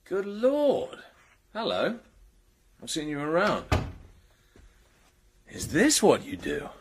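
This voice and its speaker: An actor playing a wry British secret agent in a radio drama, with dry, understated delivery. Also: male voice, a little high, wildly swooping pitch, slow pace, a little hiss or hum, full character voice. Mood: flirtatious.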